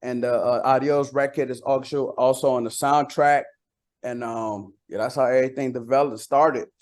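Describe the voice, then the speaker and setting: A man's voice: mid-range voice, steady tone, moderate pace, darkish tone. A man talking casually as an interview guest, a rapper using slang and repeating phrases as he tells anecdotes.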